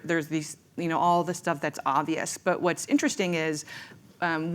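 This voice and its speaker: Low-ish voiced woman ceo on a panel, blunt and jokey. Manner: candid, self-assured, joking. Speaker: tech startup founder and CEO, panelist. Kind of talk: panel discussion.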